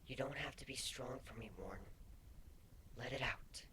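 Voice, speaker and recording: A man voicing a gentle, consoling female changeling in a fan fiction reading, speaking in a soft, breathy, soothing way. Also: mid-range voice, normal speed, background noise, lightly acted.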